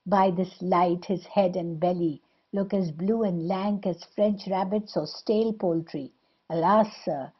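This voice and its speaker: A mocking period-comedy wit played by a woman declaims insults with scorn. The voice is husky and mid-pitched. The recording is slightly dull and lo-fi. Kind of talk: Restoration comedy audio play acting.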